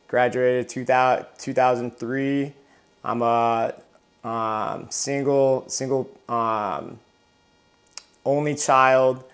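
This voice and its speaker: Male, 30s, interview guest, flat delivery, halting with frequent restarts. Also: mid-range voice. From Southern California. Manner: halting, many restarts and repeated words. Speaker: interview guest, retired Marine. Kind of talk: casual interview.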